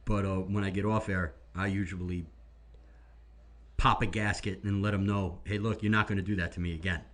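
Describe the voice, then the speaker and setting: A man's voice: deep voice, fairly even intonation, fairly quick, a bit bright. A man who hosts a radio show talks casually with his guest. He mostly offers short agreements and adds a few stories of his own. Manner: affirming, brief interjections. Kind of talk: casual interview chat.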